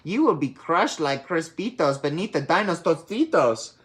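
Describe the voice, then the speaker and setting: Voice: medium pitch, lively intonation, moderate pace, pitched above the performer's own voice, lightly acted. A storybook reader voicing a bold, boastful little male Chihuahua hero, theatrically, with Spanish-flavoured mock words. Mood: wailing warning.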